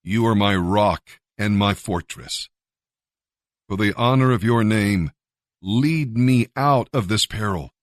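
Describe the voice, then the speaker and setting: A man reading scripture and devotional commentary from a script in a measured, earnest manner. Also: fairly low pitch, no room sound, clean studio sound. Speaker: Bible reader and devotional narrator. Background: music.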